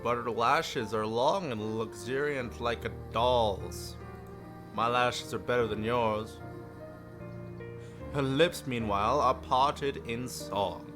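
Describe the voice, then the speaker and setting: A man livestreaming a visual novel, reading aloud theatrically and breaking off for playful, jokey asides. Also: mid-range voice. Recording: music behind the voice. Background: faint music.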